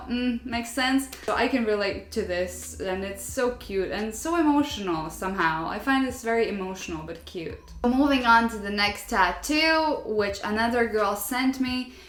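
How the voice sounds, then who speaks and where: A woman's voice: fairly high pitch, fairly bright tone, roomy sound. A young woman who is not a native English speaker chats casually while reading out viewer stories, relating to them and laughing often. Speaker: vlogger.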